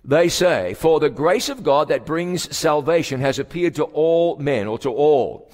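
A man preaching a sermon in slow, emphatic phrases with dramatic pauses. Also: a little high, lively intonation, darkish tone. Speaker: pastor. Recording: long hall reverb.

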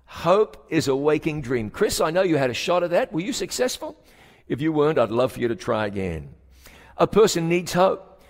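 A man preaching a sermon, telling stories dramatically and varying his pace and emphasis, on a stage mic in a church sanctuary. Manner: dramatic storyteller, shifting pitch and pace for emphasis. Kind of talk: sermon with storytelling. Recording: long hall reverb.

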